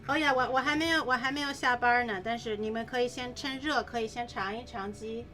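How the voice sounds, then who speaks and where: A woman's voice: fairly high pitch, steady tone, fast, sharp tone. A woman whose first language is Mandarin, chatting and giggling in a playful way while cooking on a casual livestream. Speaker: streamer. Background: background music.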